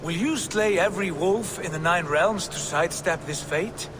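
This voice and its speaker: Man playing a high-voiced, principled Norse war god. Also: background noise, lightly acted. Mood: reproving, calming. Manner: earnest, measured, formal. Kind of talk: cinematic video game acting.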